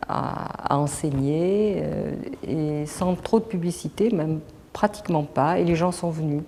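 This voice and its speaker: A middle-aged woman, a teacher, calmly explaining her association in an interview, with frequent hesitations. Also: lowish voice. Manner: measured, explanatory, many hesitations. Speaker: teacher running a French course association. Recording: echoey hall, faint background noise.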